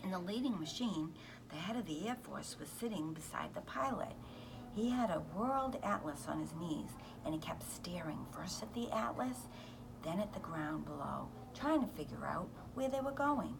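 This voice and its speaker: A woman reading a children's novel aloud in an expressive, animated storytelling manner. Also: fairly low pitch, normal speed, creaky, noisy background.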